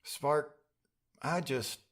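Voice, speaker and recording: Man acting a gentle, wistful middle-aged dad. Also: on the high side, extremely slow, full character voice. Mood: wistful, tender. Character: tender, wistful father of a graduating daughter. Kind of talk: audiobook character dialogue. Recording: music under the voice.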